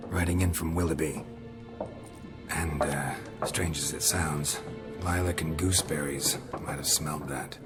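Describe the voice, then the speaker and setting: A grim monster hunter who talks in short, blunt, dry sentences. The voice sits extremely low. Game music plays clearly behind him. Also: male voice, steady tone, unhurried. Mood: curt. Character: grim weary monster-hunter man, terse. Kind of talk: video game cutscene acting.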